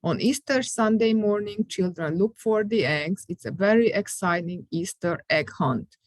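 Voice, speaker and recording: A middle-aged woman teaching English to adult learners, speaking slowly and patiently and repeating simple phrases. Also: medium pitch, lively intonation, dry recording. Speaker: ESL teacher. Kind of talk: classroom instruction recorded for students.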